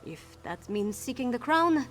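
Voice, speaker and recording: An actress playing a determined young Nord princess who speaks firmly in a video game cutscene. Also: female voice, fairly high pitch, very animated, a little slow, slight background noise. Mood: resolute. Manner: firm, determined.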